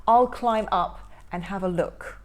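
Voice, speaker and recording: A woman voicing a tiny, excitable male tooth troll in a storybook reading, frantic and shouty. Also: mid-range voice, takes it slowly, close to an everyday voice. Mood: indignant. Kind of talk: storybook character voice.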